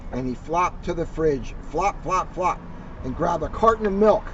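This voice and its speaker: A man's voice: fairly high pitch, lively intonation, moderate pace, crowd noise. A man reading a children's book aloud as a storyteller, with casual asides to listeners. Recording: muffled, distant mic, clear background noise.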